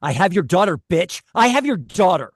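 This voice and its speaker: A woman's voice: on the low side, very animated, fairly quick, no room sound, clean studio sound. A woman playing an aggressive, menacing kidnapper in a scripted drama table read. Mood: threatening.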